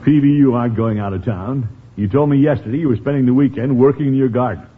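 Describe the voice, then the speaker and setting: An actor playing a blustery, easily exasperated middle-aged uncle, pompous and indignant, in 1950s radio comedy style. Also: male voice, mid-range voice, quick pace, close to an everyday voice. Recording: noisy old radio broadcast, muffled band.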